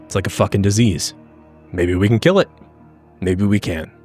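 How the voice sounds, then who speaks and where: A man improvising in character in a tabletop game, with a blunt, sweary and halting casual delivery. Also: lowish voice, a little mellow. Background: background music.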